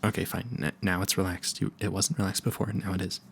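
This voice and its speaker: A low, gravelly male voice over faint background noise. It is a nervous, smitten vampire hunter acted by a man in an intimate audio roleplay. He stammers, halts and keeps correcting himself, flustered and clueless. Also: little pitch movement, fast. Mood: nervous, settling down.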